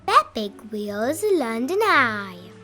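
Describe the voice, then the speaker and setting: A friendly little storybook barge voiced by a woman reader proudly guides visitors along. The voice is high and swings widely, with emphatic, eager announcements over faint music. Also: sing-song, takes it slowly, sharp tone, full character voice. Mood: cheerful, proud.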